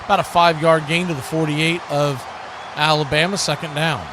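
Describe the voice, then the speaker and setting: Male play-by-play streamer, slightly high voice, excited on the action and chatty between snaps, clear background noise. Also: moderate pace, a crowd in the background. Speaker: play-by-play host of a football watch party. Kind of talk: live play-by-play commentary with casual asides.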